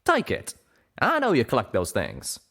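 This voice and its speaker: A man voicing a gruff, protective male pegasus with a drawl, blunt and scolding. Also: mid-range voice, wildly swooping pitch, normal speed, fairly bright tone, pitched above the performer's own voice, exaggerated voice. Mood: gentle.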